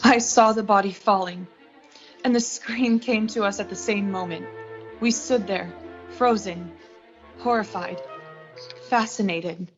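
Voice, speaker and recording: A woman's voice: mid-range voice, a little slow, long pauses, close to an everyday voice. A young woman acting an ambitious, unsentimental art director who narrates her own story in a radio suspense play. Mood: grim, reflective. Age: young adult. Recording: muffled lo-fi recording with music.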